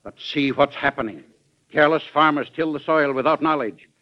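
An actor playing an earnest, indignant American government official in an old-time radio drama, formal and oratorical in delivery. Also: male voice, a little high, fairly quick, natural voice. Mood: impassioned. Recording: dull vintage radio recording.

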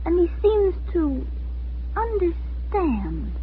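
A woman's voice: very high-pitched, wildly swooping pitch, very slow, faint music behind, exaggerated voice. A woman playing an earnest, impressionable eleven-year-old girl in a 1940s radio drama, with a childlike, emotional delivery. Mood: troubled, confused. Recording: scratchy, tinny 1940s radio recording.

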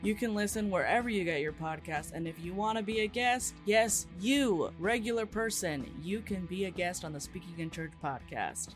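A man reads an upbeat podcast promo, speaking straight to the listener in a high voice for a man. Guitar music plays clearly underneath his words. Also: moderate pace, few pauses. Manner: upbeat direct address to the listener. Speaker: promo announcer.

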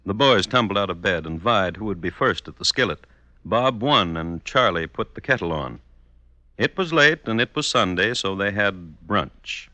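Wry, bright-voiced male radio western narrator. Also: lowish voice, no room sound. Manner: wry, storytelling.

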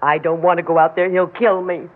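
An actress playing a frightened, overwrought young wife who pleads and swings toward hysteria, in old radio drama style. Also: female voice, fairly low pitch, flat delivery, fairly quick, close to an everyday voice. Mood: panicked. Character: frightened, high-strung young wife in hiding. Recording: muffled, slightly noisy old radio recording.

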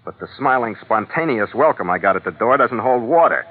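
An actor playing a hard-boiled, suspicious ship's skipper in old-time radio noir style, terse and sardonic. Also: male voice, mid-range voice, animated, a little fast, husky. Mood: accusing. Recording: tinny vintage radio recording, faint music.

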